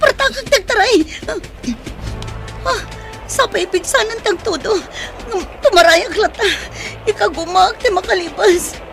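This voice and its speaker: A high-pitched young woman in an Ilocano radio drama. She is frightened and desperate, with a breathless, whispery, pleading delivery. Music plays under her voice. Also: a little slow, creaky, fairly bright tone, exaggerated voice. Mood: desperate, tearful.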